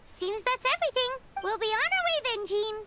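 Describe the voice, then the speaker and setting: Tiny fairy sidekick: extremely high, squeaky, bubbly, quick to huff, swooping intonation, game music behind. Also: female voice, expressive, noisy background. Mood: chirpy. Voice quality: squeaky, childlike. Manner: exclamatory, refers to self in third person. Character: tiny bubbly, indignant childlike floating girl fairy companion. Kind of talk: video game voice acting.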